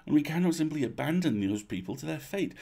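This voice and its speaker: Man voicing a weary old duchess, gravelly, formal. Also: on the high side, lively intonation, dark tone, pitched above the performer's own voice. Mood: regretful. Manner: formal, measured phrasing, sighing.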